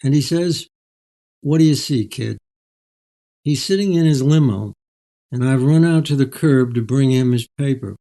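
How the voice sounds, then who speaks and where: Older male author, mellow tone, reflective storytelling with articulate, measured delivery. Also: mid-range voice, low-quality recording. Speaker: author, interview guest. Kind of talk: reflective storytelling, reading and interview.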